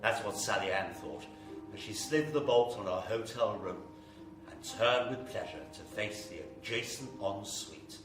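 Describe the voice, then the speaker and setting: Man as low-voiced ominous storyteller. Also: over music, close to an everyday voice. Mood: ominous relish. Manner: measured, theatrical, savouring words. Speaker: story narrator. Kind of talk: dramatic scripted horror narration. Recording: echoey, distant live stage, faint noise.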